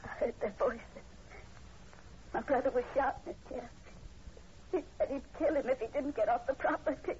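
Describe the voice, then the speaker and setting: A woman's voice: high-pitched, a little mellow, slight background noise, natural voice. Woman playing a terrified young woman, muffled old radio. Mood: haunted dread. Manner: breathless, halting, pleading. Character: terrified young woman, fearful of her brother. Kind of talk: 1940s radio horror acting.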